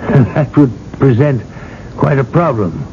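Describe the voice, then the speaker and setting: A wry, persistent older churchman, talks in measured, rhetorical phrases with a gentle needle in them. The sound is that of an old broadcast, muffled and noisy with rumble. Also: animated. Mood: wry, challenging. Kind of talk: radio drama acting.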